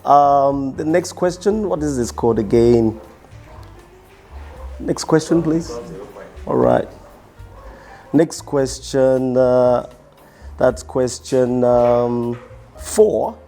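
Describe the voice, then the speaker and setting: A young man, a musician answering quick-fire interview questions in a tired, strained voice, halting and repeating his words. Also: medium pitch, dark tone, faint music behind. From Cameroon. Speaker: musician guest.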